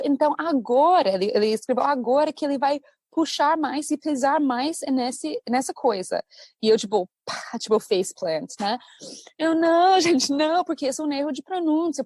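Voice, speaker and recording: An American woman, a native English speaker and English teacher, chatting as a podcast guest in a lively storytelling manner. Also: high-pitched, husky, no echo. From United States. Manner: chatty, animated storytelling with laughs. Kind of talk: casual podcast conversation.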